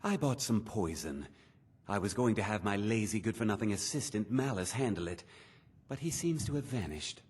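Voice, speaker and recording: An exasperated, scornful owner of a fantasy meadery. The voice is somewhat low and rough-edged, with a sarcastic, irritable bite fit for a role-playing video game. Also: male voice, expressive, moderate pace, darkish tone. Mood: demanding, irritable. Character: irate, sarcastic, put-upon male meadery owner.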